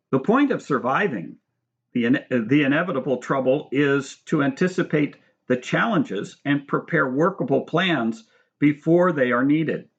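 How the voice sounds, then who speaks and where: American man reading, slightly dull recording. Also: a little high. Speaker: author reading own book. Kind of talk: audiobook-style reading of nonfiction with spoken asides.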